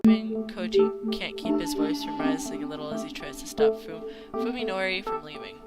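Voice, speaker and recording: A woman's voice: sits low, moderate pace. A woman reading a visual novel script aloud as a let's player, sometimes stumbling over her words. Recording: music playing behind the voice. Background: game music.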